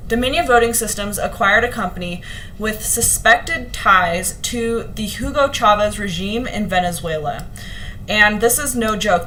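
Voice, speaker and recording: A young woman with a slightly hoarse voice, chatting earnestly to her viewers on a vlog, with plenty of ums and restarts. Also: mid-range voice, pushed and bright, a little hiss or hum, low-quality recording. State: a little hoarse. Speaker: vlogger. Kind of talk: casual talk to camera to live viewers.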